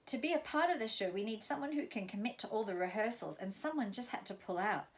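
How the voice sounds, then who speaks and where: A woman's voice: a little high, lively intonation, fast. A woman reading aloud and voicing a friendly male leader of a music theatre group, jovial and chatty. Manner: jovial, laughing.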